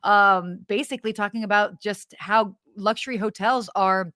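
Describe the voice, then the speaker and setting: A woman co-hosting a podcast in casual live chat, with an animated, giggly, exclamatory way of talking. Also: medium pitch, normal speed, no echo, clean studio sound. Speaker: podcast co-host.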